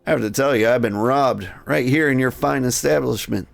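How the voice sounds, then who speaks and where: Man plays male minotaur gentleman for a Victorian game: brooding, formal, wordy, gravelly. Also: medium pitch, moderate pace, dry recording, faint music behind. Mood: aggrieved. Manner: formal, wordy old-fashioned speech.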